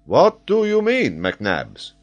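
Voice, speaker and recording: A man voicing a proud, excitable French geographer from a storybook, pedantic and emphatic as he lectures. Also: mid-range voice, measured pace, pitched above the performer's own voice. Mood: proud, excited.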